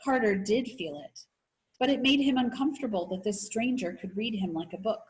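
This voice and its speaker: A woman reading a children's novel aloud like a teacher, in an expressive, animated storytelling manner. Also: medium pitch, brisk, low-quality recording. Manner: animated storytelling with voices for characters.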